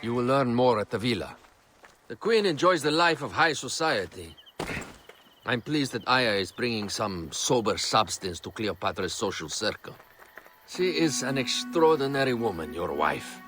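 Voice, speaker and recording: A man's voice: mid-range voice, very animated, moderate pace, a bit bright, faint music behind. An actor playing a refined, articulate advisor to an ancient Egyptian queen in a video game, speaking formally and instructively. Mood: courteous, advising. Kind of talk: video game cutscene acting.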